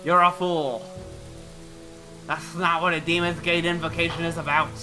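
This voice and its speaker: A man's voice: a little high, flat delivery, moderate pace, over music, natural voice. A man voicing a proud, scornful older male mystic fighter in a game, blustering and defiant.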